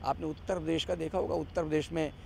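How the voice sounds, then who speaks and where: Emphatic man with a creaky, higher-pitched voice. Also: fairly even intonation, fast, darkish tone, slight background noise. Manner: emphatic, repeats phrases, rhetorical questions. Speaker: interview guest giving political commentary. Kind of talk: argumentative political interview.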